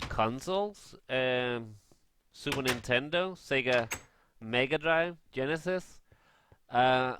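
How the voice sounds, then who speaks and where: A man gaming vlogger chatting casually and haltingly in a rambling review over gameplay. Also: mid-range voice, background noise.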